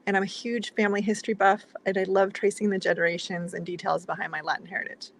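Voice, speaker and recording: Female professional panelist, from Mexico, medium pitch, composed, faint noise behind the voice. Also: level tone, fast talker, vocal fry. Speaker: panelist, strategic planning leader. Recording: noisy background on call.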